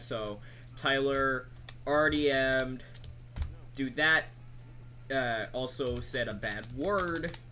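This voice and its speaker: A man giving a casual screen-recorded software tutorial, talking in a halting, rambling, informal way. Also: mid-range voice. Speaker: mod creator presenting tutorial. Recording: background noise, keyboard typing.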